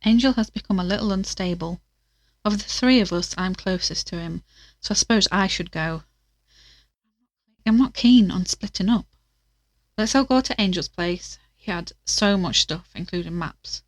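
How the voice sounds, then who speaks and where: Audio drama: worried, earnest female amateur sleuth, played by a woman, mid-pitched, hesitant, dry recording. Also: moderate pace, no room sound, natural voice. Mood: reluctant.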